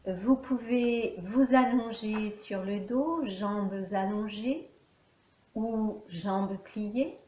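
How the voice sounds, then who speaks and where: A woman teaching yoga nidra, guiding a meditation calmly in short, measured phrases with pauses between them. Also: on the high side, a little hiss or hum. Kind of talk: guided meditation, calm instruction. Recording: echoey, reverberant room.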